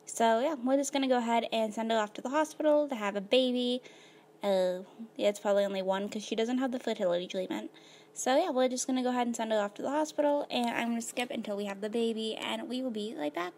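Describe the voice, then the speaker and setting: A woman with a slightly hoarse voice, casually narrating her life-simulation gameplay at a computer, rambling with lots of fillers. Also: a little high, quick pace, a little mellow. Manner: rambling, filler-heavy, laid-back. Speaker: gaming video host.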